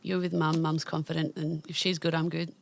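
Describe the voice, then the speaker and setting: A woman's voice: sits low, level tone, brisk, creaky, no room sound. A woman hosting a podcast interview in casual chat, gushing and laughing often, with many fillers. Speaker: podcast host.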